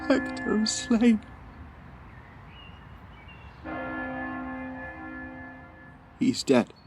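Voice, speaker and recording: Anguished, gravelly warrior prince. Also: male voice, medium pitch, a little mellow, full character voice. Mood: grieving. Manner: impassioned, declamatory. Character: anguished young Trojan prince, bitter and vengeful. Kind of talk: Shakespearean audio drama.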